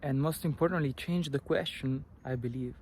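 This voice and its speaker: A young man from Italy with an Italian accent, talking earnestly to camera and sharing advice, often pausing and restarting his sentences. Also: medium pitch, darkish tone, slight background noise. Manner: earnest, halting, with many restarts and fillers. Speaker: vlogger. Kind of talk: personal advice talk to camera.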